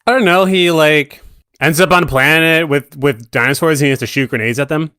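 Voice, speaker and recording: A man's voice: on the high side, brisk, bright, forceful tone, smooth, no echo, studio quality. A man co-hosting a podcast in casual chat, with a laid-back, joking manner. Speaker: podcast co-host.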